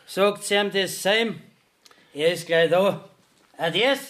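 An actor playing a blunt, unfazed manservant with a Bavarian accent in a dialect radio play. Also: male voice, high voice, slow, fairly bright tone, a little hiss or hum. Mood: matter-of-fact. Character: blunt, unfazed manservant of a visiting court official.

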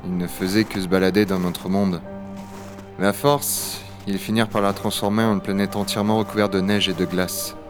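A man's voice: sits low, little pitch movement, brisk, music in the background, close to an everyday voice. A man playing a rugged, wry dragon hunter of a frozen future in a science-fiction audio drama. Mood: grave, reflective. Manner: gravely reflective narration, familiar offhand banter. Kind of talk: French audio-fiction acting and first-person narration.

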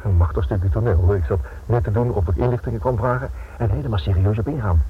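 A man's voice: lowish voice, fast talker, lightly acted. A man acting in a radio play as a flustered but stubborn novelist turned amateur detective, hesitant and stammering, on the defensive. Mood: earnest, flustered. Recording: tinny, band-limited old radio recording with noise.

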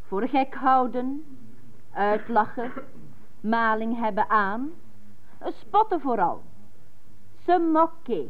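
An actress playing a strict, impatient schoolteacher in a radio drama, speaking in a deliberate, insistent, didactic way. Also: female voice, high voice. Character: strict, increasingly impatient female schoolteacher drilling French. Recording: thin, phone-like 1980s radio recording.

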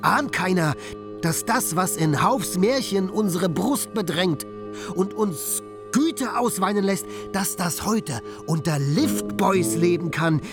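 A man's voice: high voice, lively intonation, slow, music in the background, exaggerated voice. A man playing a brooding, fanciful poor dreamer in a radio drama, speaking in a declamatory, poetic way. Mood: bitter, brooding. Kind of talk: theatrical radio drama acting.

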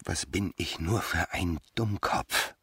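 A man's voice: deep voice, takes it slowly, pushed and bright, breathy edge, no room sound, heavily acted. A man playing a self-centred, callous bachelor lover in a radio drama, with theatrical, self-justifying delivery. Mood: exasperated self-pity.

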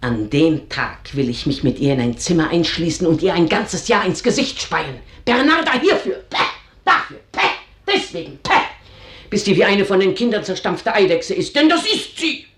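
An actress playing an earthy, bitter older housekeeper in a German radio drama, grumbling with theatrical scorn. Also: female voice, fairly low pitch. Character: bitter, earthy older female housekeeper, gossiping and cursing her mistress. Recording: roomy, echoing radio drama studio sound.

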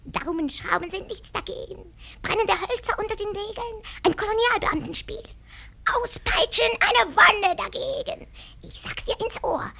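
A woman's voice: very high voice, animated, normal speed, gravelly, bright, forceful tone, full character voice. A woman playing a gleefully cruel squirrel henchman in a German radio play, taunting and rhyming conspiratorially. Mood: gleeful, sly.

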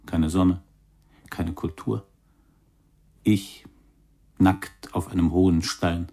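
An actor playing a weary, boozy young jazz cornettist in a radio play, rambling and confiding in broken phrases. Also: male voice, sits low, fairly even intonation, slow, dark tone, heavily acted. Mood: bleak.

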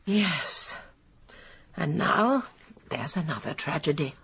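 An actress playing a shrewd, quietly probing elderly British lady sleuth in a radio drama, with a husky voice. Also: low-pitched, expressive, takes it slowly, exaggerated voice. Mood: pointed.

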